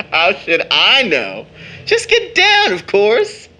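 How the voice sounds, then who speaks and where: Young uncle in an audio drama, kinda high bright voice, formal, takes his time. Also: huge pitch swings, slow pace, heavily acted, low-quality recording. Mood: teasing. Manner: theatrical, mock-formal. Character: playful, teasing young uncle, mock-pompous, proud, later embittered.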